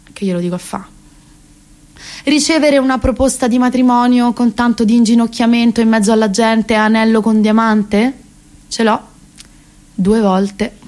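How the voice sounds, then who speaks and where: A woman's voice: fairly high pitch, natural voice. An actress around thirty with a Roman Italian accent, playing a neurotic, rambling, self-ironic woman in a comic radio monologue.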